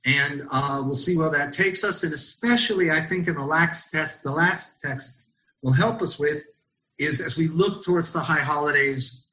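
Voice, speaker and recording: A middle-aged American man from Los Angeles, a rabbi leading an online study session over a video call, speaking thoughtfully and often pausing with ums as he searches for words. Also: on the high side, sharp tone, poor audio. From Los Angeles, United States. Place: at home in Israel.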